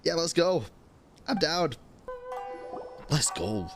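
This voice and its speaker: A man's voice: a little high, sing-song, takes it slowly, vocal fry, music in the background. A man casually reacting to a game as a streamer, slangy and full of short interjections.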